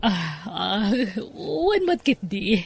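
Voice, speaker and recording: A woman's voice: mid-range voice, sing-song, drawn-out words, creaky, slight background noise, full character voice. A woman with an Ilocano Filipino accent playing a doting, effusive mother of the bride in a podcast comedy drama. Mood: delighted.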